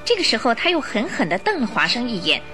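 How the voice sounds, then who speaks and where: A woman's voice: a little high, huge pitch swings, fast talker. A woman reading dramatic scripted narration for a radio play. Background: music.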